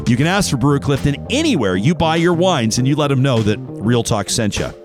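A man's voice: mid-range voice, big pitch swings, brisk, music in the background. A man hosting a talk radio show, reading ads and a listener's email in a studio in an upbeat, conversational way. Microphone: studio microphone.

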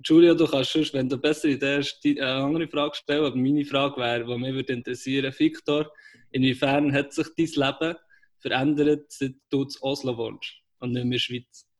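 A man's voice: mid-range voice, fairly even intonation, moderate pace. A Swiss German man chatting and teasing on a casual video call. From Switzerland.

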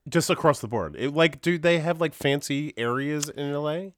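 A man's voice: fairly high pitch, animated, moderate pace, no echo, studio quality. A man hosting a casual movie podcast, laid-back, chuckling often and letting his sentences trail off. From New York State. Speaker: podcast host. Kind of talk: casual chat.

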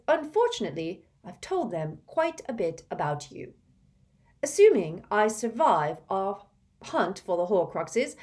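A woman's voice: medium pitch, warm tone, voice pushed up, close to an everyday voice. A woman voicing an earnest, bookish teenage girl witch who turns tearful and quavering. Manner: tearful, quavering in sad moments.

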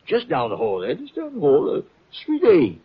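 A blustering, husky old colonel. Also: male voice, fairly high pitch, wildly swooping pitch, normal speed, heavily acted. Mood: sly, hearty. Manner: blustering, throat-clearing, courtly. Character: pompous older Southern colonel, blustering racetrack con man. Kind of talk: 1940s radio acting. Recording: muffled old radio recording.

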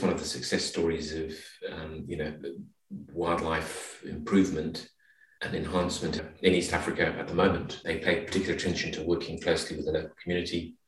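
A man in middle age speaks in a very deep register, sounding roomy and distant from the microphone. He is from Zimbabwe and explains his points conversationally, pausing to find words as an interview guest. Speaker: podcast guest.

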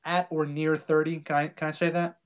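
A man's voice: fairly high pitch, little pitch movement, a little fast. A man of thirty hosting a podcast, chatting casually with guests, laid-back and swearing often with easy laughs. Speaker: podcast host. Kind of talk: casual comedic chat. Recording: dull lo-fi podcast audio.

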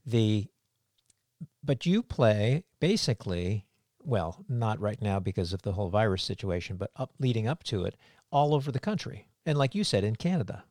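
Low-voiced man, dry close sound. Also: big pitch swings, normal speed, darkish tone, no echo. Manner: conversational, leading questions. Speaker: interviewer. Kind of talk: casual interview chat.

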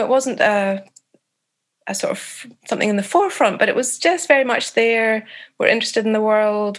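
A Scottish woman answering interview questions thoughtfully, pausing often with fillers and restarting phrases. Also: mid-range voice. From Scotland. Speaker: author guest.

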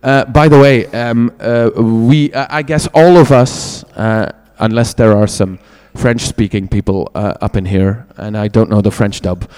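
A man with a Dutch accent moderating a convention panel, halting and self-deprecating, full of hesitations. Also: lowish voice, steady tone, a little mellow, clean tone. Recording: echoey hall.

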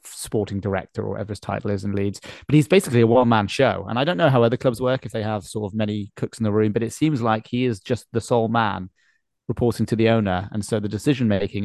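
A man's voice: lowish voice, a little mellow, dry recording. A man hosting a podcast, talking casually through sports discussion. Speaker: podcast host.

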